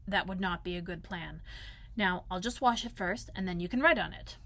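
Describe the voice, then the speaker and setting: A woman's voice: lowish voice, normal speed, a bit bright. Woman playing a simple, earnest storybook bear. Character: gentle slow-witted male teddy bear, earnest.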